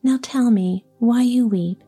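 A woman voicing a gentle, kindly little female fairy in a soft whisper. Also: on the high side, slow, husky, soft background music, exaggerated voice. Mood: tender.

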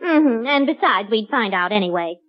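Lady as knowing sitcom wife, high lilting voice, dull old radio recording. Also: lively intonation, moderate pace, natural voice. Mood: skeptical. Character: knowing, skeptical, patient wife and mother. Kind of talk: 1940s radio comedy acting.

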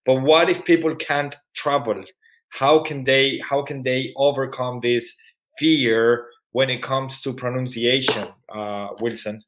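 A man's voice: medium pitch, fairly even intonation, measured pace, fairly bright tone, low-quality recording. A man whose native language is Spanish, with a Spanish accent mixed with Irish, hosting an interview in an upbeat, questioning way. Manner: upbeat, repeats praise before asking. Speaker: podcast host. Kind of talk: casual interview.

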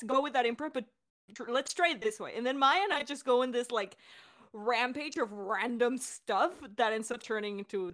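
A woman's voice: on the high side, a bit bright. An enthusiastic woman speaking as a podcast director and co-host in a casual, chatty Q&A. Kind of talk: casual creator Q&A.

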